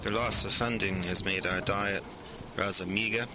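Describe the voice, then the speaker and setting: A man playing a dry, solemn research director dictating a bureaucratic log in an audio drama, halting and monotone. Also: low voice, heavy background noise, lightly acted. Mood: grim.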